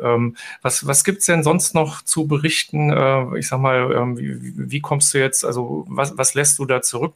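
A middle-aged man hosting a podcast with casual questions that he often rephrases haltingly as he goes. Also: mid-range voice, gravelly, fairly bright tone. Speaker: podcast host and interviewer. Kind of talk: casual chat interview.

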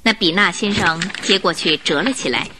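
A woman narrating a Mandarin radio drama as an expressive storyteller of a detective tale. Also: fairly low pitch, moderate pace, background noise, natural voice.

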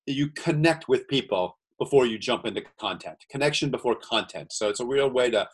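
A man from the USA, a guest entrepreneur, chatting earnestly on a video call and reading aloud slowly. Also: mid-range voice, lively intonation, slightly rough. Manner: earnest, reads cards slowly and deliberately. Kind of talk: casual video-call chat.

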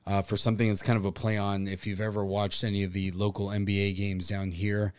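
Man with a low, dry-recorded voice. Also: level tone, quick pace, darkish tone, clean tone, no room sound. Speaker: podcast co-host. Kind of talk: casual bantering chat.

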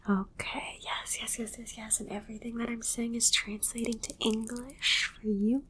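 A woman whispering an ASMR roleplay as a calm, reassuring alien medical examiner, repeating short reassurances in a hushed, soothing way. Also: a little high, normal speed, slightly rough.

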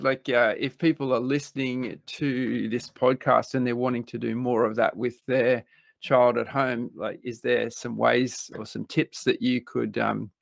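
A man hosts a podcast in a friendly, upbeat way, and his voice sits at an ordinary pitch. He introduces guests and asks questions casually, leaning on filler words as he goes. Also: gravelly. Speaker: podcast host. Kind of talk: podcast interview.

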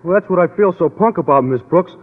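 An actor playing a dim, good-natured teenage boy athlete, sheepish and slow-witted, in a 1940s radio comedy. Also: sits high, lively intonation. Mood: glum. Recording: tinny, hissy old radio recording.

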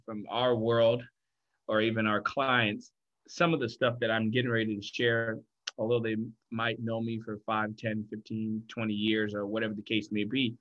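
Man, interview guest, thoughtful and measured, fairly deep, muffled audio. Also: a bit bright. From Liberia. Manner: thoughtful, deliberate. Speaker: guest, founder of a financial firm. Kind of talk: conversational interview storytelling.

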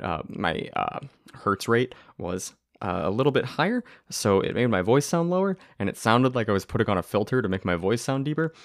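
A young man hosting a casual podcast, talking excitedly and rambling, with a tired voice. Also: fairly low pitch, dry recording, studio quality. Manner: excitable, rambling, swears, laughs often. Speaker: podcast host. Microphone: close to the mic.

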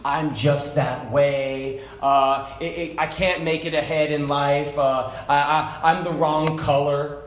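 A man preaching a sermon to a congregation, talking casually and then building to loud, fervent shouts. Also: fairly high pitch, level tone, slow pace, hardly any pauses, slight background noise. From Hong Kong. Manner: conversational preaching, rising to shouts. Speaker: pastor. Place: church sanctuary. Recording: echoey church room.

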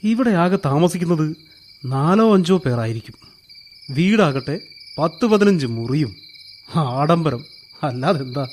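Radio play: edgy burglar, talks slowly under his breath, faint hiss behind. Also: a little high, animated, a little mellow. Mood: muttering. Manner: muttering to himself, then pleading. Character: nervous sneaking male burglar.

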